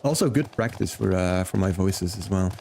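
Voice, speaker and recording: Young man, casual stream chat, relaxed, chatty, game music behind. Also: low-pitched, moderate pace, creaky, slight background noise. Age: 20s. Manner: chatty, relaxed, chats with viewers while playing.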